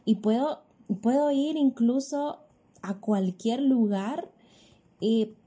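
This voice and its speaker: A woman's voice: fairly high pitch, slow pace, mellow, slightly rough. A woman host with a Honduran accent reflecting on faith in a preaching-style way. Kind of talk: faith talk show reflection.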